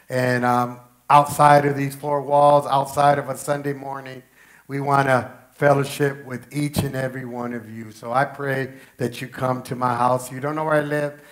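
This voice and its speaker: Pastor, man in his forties, voice worn raspy, slightly high, earnest and repetitive, headset mic on a church stage. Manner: earnest, repeating himself for emphasis. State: raspy, strained voice. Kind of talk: church announcements and sermon. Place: church stage with pink-lit wall.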